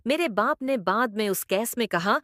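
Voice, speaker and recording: A woman's voice: on the high side, animated, moderate pace, a bit bright, dry recording, studio quality. A woman reading a scripted first-person story as a narrator.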